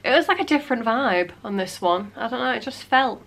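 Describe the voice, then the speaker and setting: Late-20s woman, bunged up with a cold, gravelly and bright, chatty vlogger at home. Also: a little high, expressive, brisk. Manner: chatty, frequent "like" fillers, playful asides. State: congested with a cold. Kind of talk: casual chat. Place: small cluttered home room.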